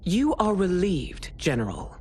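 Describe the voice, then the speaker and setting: An actress playing a proud middle-aged empress in a video game, with a regal, formal, commanding manner. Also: female voice, on the low side, very animated, a little slow, background noise. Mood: stern, betrayed. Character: stern, imperious middle-aged warrior empress, wounded pride. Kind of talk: dramatic video game acting. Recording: game music behind voice.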